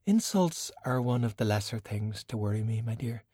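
A man's voice: mid-range voice, lively intonation, moderate pace, dark tone, slightly rough, no echo, clean studio sound. A man voicing a poised, vain and sly woman mage in an audiobook, with an arch, theatrical manner. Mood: poised, probing.

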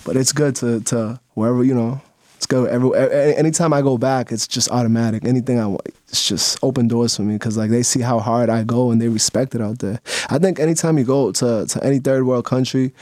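A man's voice: medium pitch, steady tone, fairly quick, a little mellow, no room sound. A young man from the Dominican Republic, a guest in a radio studio, chatting casually with lots of laughs and fillers. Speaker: guest videographer. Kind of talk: casual radio interview chat.

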